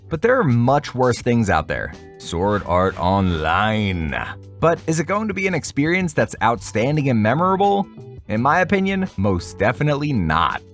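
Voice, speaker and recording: Animated male video essayist over music. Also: mid-range voice. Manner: wry, opinionated, informal slang asides. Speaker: anime reviewer, online video host. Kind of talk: scripted video essay review with casual asides.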